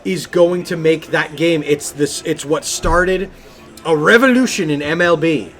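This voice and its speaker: A man co-hosting a gaming podcast, talking casually and with animated enthusiasm about games. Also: on the high side, measured pace. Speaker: podcast co-host.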